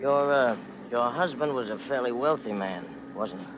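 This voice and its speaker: A man's voice: medium pitch, normal speed, close to an everyday voice. A man acting a polite, probing police chief in an old-time radio drama, casually asking leading questions. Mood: quietly probing. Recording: muffled, noisy old radio recording.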